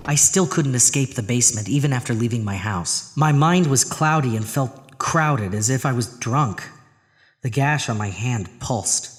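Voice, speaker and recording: A man's voice: mid-range voice, animated, moderate pace, in a large hall, natural voice. A man acting as a troubled husband who narrates his own horror story in an audio drama. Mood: brooding. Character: Arthur, troubled married man haunted by a drain. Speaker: narrator and lead actor.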